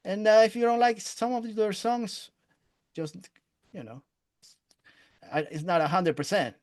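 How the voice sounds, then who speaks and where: A man's voice: high voice, measured pace, dry recording, clean studio sound. An older man with a Spanish accent hosting a casual interview, rambling in a self-deprecating, profane way. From Spain. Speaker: interview show host. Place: indoor room on a video call.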